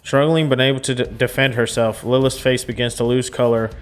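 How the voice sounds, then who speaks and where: A man's voice: mid-range voice, fairly even intonation, brisk, clear, resonant tone, soft background music. A man reading a visual novel aloud as a game streamer, mixing narration with casual reactions.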